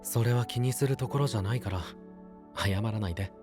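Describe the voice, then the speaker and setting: An actor playing a kind, easygoing young knight in an anime voice drama, talking in soft, casual, friendly phrases. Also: male voice, lowish voice, brisk, lightly acted. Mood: gentle, reassuring. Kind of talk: Japanese anime voice drama acting. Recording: clear music under the voice.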